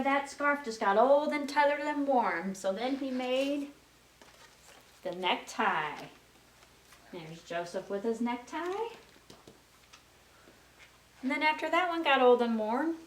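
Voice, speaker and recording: A woman's voice: sits high, lively intonation, slow, pauses often. A woman storyteller reading aloud to young children in a sing-song way, prompting her listeners with questions. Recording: roomy, distant mic with faint noise.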